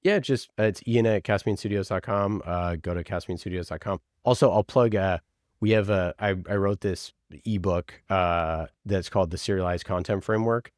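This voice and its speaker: A man with a low, deep voice talks as a guest in a casual interview. He is emphatic and informal, often slipping into little quoted asides. The sound is close and dry. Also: normal speed, no room sound. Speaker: podcast producer, interview guest. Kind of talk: casual conversational interview answers.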